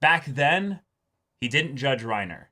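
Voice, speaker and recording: A man in casual discussion as co-host of an anime reaction show, halting and often repeating his phrases. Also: medium pitch, animated, bright, forceful tone.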